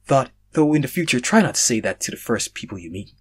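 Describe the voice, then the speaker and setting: Guy doing a measured serious fantasy tactician, formal, bit husky. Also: mid-range voice, very animated. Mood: reassuring.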